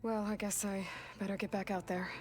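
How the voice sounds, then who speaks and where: A woman acting a determined, guarded young warrior in a measured, matter-of-fact way for a video game cutscene. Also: medium pitch, level tone, a little mellow, natural voice. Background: music behind the voice.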